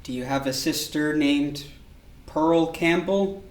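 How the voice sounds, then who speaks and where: A woman's voice: sits low, slow pace, a little mellow. A woman acting a formal, grave police officer in a staged play reading. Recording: distant mic in echoey room.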